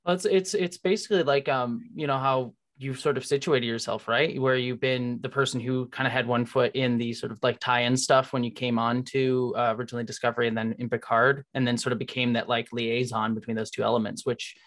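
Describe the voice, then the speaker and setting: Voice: medium pitch, fairly even intonation, a little fast, few pauses. An interview host chatting casually as an enthusiastic fan on a video call, effusive and rambling, often saying "like". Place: indoor room on a video call.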